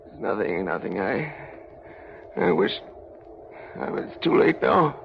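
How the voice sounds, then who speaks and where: A man's voice: on the low side, big pitch swings, unhurried, creaky, a little hiss or hum, exaggerated voice. A man acting an old miser shaken by regret in a 1930s radio drama, stammering and repeating himself. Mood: evasive, regretful. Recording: muffled old radio broadcast with faint music.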